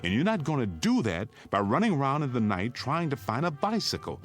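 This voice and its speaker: A man's voice: mid-range voice, very animated, brisk, soft background music, natural voice. An American man acting in a radio drama as an urgent, middle-aged father who takes charge and steadies his family. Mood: urgent, commanding.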